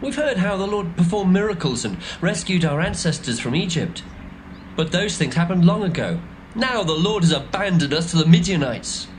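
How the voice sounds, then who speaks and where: Anxious young Gideon, high and lively, pleading, faint background noise. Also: male voice, moderate pace, poor audio. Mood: pleading doubtful. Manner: pleading, fearful, protesting.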